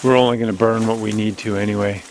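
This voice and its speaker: Loud-voiced man chatting on a woodland walk. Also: fairly low pitch, fairly even intonation, quick pace, a little hiss or hum. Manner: strong, loud voice, chatty and rambling. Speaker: channel host. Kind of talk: casual walking vlog narration. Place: outdoors on an overgrown woodland logging road. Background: faint outdoor nature ambience.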